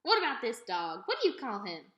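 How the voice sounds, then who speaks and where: A woman's voice: fairly high pitch, huge pitch swings, a little fast, fairly bright tone, roomy sound, muffled recording. A woman voicing a kindly, amused old toothless country woman with a folksy, exclaiming way of talking.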